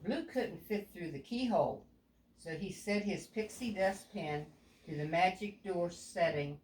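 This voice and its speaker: An older woman reads a children's story aloud like a grandmother, deliberately, pausing to explain things to a child. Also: fairly low pitch, darkish tone. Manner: deliberate, explaining, with stumbles over words. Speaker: grandmother reading a picture book to a child. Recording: echoey room with background noise.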